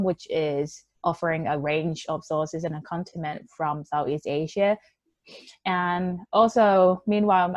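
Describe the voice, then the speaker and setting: Young woman talking about her business, low voice, dry sound, says um a lot. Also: no echo, muffled recording. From Thailand. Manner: conversational, non-native English with fillers. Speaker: entrepreneur guest. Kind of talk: interview answers.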